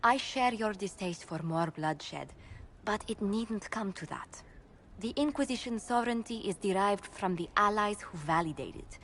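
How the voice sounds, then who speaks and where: A formal, mid-pitched female court adviser in a fantasy game. She is measured and diplomatic, with faint noise in the background. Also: lively intonation, fairly bright tone. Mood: formal, composed. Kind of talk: video game dialogue acting.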